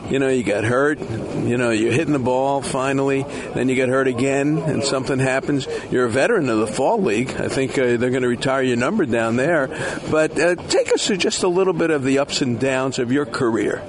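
A man at a middle pitch hosting a sports interview in a chatty, folksy way, slipping in his own asides. A crowd murmurs clearly behind him. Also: a little fast, hardly any pauses. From Miami. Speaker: interviewer, host.

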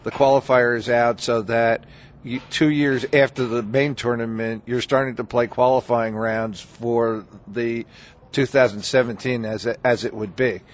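A man's voice: medium pitch, steady tone, a little slow. A man speaking in an ordinary middle register, guesting on a radio talk show. He talks loosely and at length, often restarting his sentences and filling the gaps with "uh" and "you know.". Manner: rambling, many restarts and fillers. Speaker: baseball writer, guest. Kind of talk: casual radio interview chat.

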